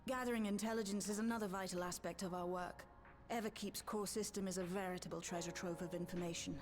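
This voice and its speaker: A woman voicing a sharp, breezy intelligence specialist in a video game, conversational and matter-of-fact. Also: mid-range voice, fairly even intonation, quick pace, faint music behind, lightly acted.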